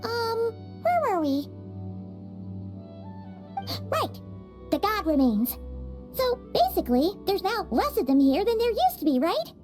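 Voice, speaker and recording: A woman voicing a tiny, excitable, cheeky childlike female fairy in a video game, squeaky, chirpy and dramatic. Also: unhurried, pauses often, soft background music, heavily acted. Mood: puzzled, worried. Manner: chirpy, dramatic, speaks of herself in third person.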